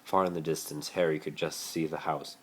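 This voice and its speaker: A man's voice: extremely low pitch, fairly even intonation, a little fast, a little hiss or hum, poor audio. A man narrating fan fiction as an amateur dramatic reader, recorded on a phone.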